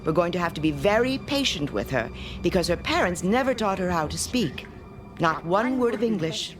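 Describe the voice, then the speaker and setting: Stern schoolmistress: lowish, expressive, prim and condescending, vintage radio drama with music underneath. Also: female voice, normal speed. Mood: insistent. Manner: prim, precise, insistent, condescending. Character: stern, self-righteous female schoolteacher, brisk and domineering.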